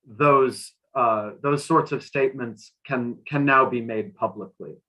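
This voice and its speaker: A man speaking as a literary translator on a discussion panel, thoughtfully, often halting and repeating a phrase as he goes. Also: mid-range voice, bright, forceful tone, poor audio. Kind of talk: panel discussion, interpreting and answering questions.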